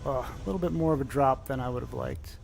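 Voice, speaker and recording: A man's voice: mid-range voice, fast talker, vocal fry, a little mellow, slight background noise. A man with a stuffy, slightly nasal voice narrating a simulated flight live, explaining as he goes with many fillers. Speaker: flight sim pilot streaming.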